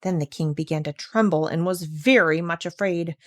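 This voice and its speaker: A woman narrating a children's story aloud in an expressive, scripted storytelling style. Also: fairly low pitch, moderate pace, no echo, studio quality.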